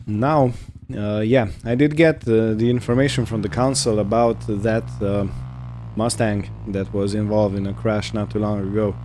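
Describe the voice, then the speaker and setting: A man giving casual roleplay game commentary, thinking aloud in short, unhurried phrases over a background hum. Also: fairly low pitch. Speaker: gaming video host.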